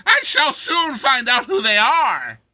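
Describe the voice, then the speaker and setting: A shrill, high-pitched dwarf jester bent on revenge. He screams with maniacal force and stretches his words mockingly, in the theatrical manner of a dramatized storybook villain. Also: wildly swooping pitch, pushed and bright, dry recording, exaggerated voice. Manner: drawn-out, mocking, theatrical delivery.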